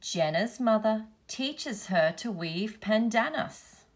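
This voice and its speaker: A woman reads a children's picture book aloud. Her pace is slow, with careful, deliberate phrasing. The voice sits at an average female pitch. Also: expressive. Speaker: storybook reader, teacher.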